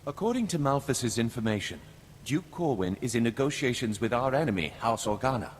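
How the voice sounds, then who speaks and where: A man's voice: medium pitch, lively intonation, fairly quick, close to an everyday voice. A man playing a stern, aristocratic spymaster in a video game, giving orders in a formal, commanding manner. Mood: businesslike. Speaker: character actor in a video game. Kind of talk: scripted game dialogue. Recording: long hall reverb, faint noise.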